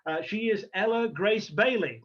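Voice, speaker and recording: A man hosting an online event informally and haltingly, speaking over a video call. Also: high voice, expressive, fairly bright tone. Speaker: host and organiser of a pageant. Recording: muffled lo-fi video call audio.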